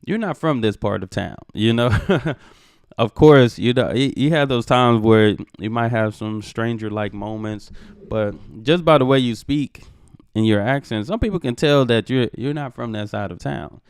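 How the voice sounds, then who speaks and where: A man's voice: mid-range voice, moderate pace, clear, resonant tone, dry recording, studio quality. A man with a Texan accent, chatting casually as the host of a reaction video, rambling with plenty of fillers. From Texas, USA.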